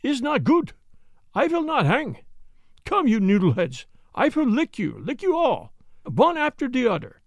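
A man's voice: high voice, very animated, measured pace, vocal fry, pauses often, a little mellow, no room sound, clean studio sound, exaggerated voice. A man voicing a stubborn, boastful male prisoner with a heavy German accent and broken English. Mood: defiant. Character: wild defiant male prisoner, stubborn and blustering.

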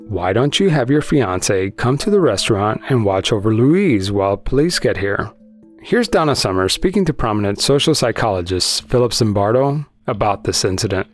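A man hosting a podcast, telling a true-crime story in a conversational narration style. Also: fairly low pitch. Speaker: podcast host.

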